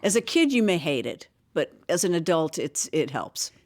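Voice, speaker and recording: An interviewer asks a guest short, curious questions in a calm, middle-pitched voice. The talk is plain and conversational, with a dry joke slipped in now and then. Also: normal speed.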